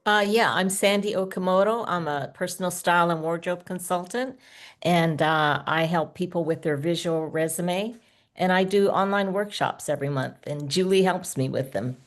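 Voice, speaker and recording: A woman's voice: on the low side, normal speed. A woman wardrobe consultant introducing herself briefly on a video call.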